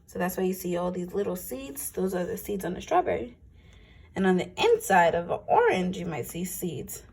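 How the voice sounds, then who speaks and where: A woman teacher reading a picture book aloud to young children, with expressive phrasing and simple explanatory asides. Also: on the low side, moderate pace, slightly rough.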